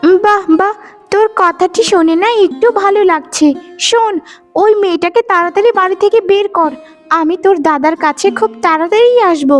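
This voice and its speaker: A woman's voice: very high voice, a little fast, soft background music. A woman voicing a vain, meddling young female rival in a melodramatic cartoon, gossiping and goading with exclamations. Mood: sly, goading. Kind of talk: melodramatic moral-story cartoon acting.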